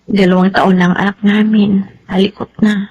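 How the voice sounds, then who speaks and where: A woman with a Filipino accent playing an anxious, fragile young soldier's wife in a radio drama, breathy, husky and pleading. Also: mid-range voice, flat delivery, normal speed, darkish tone, highs cut off, a little hiss or hum, natural voice. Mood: worried. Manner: emotional, pleading.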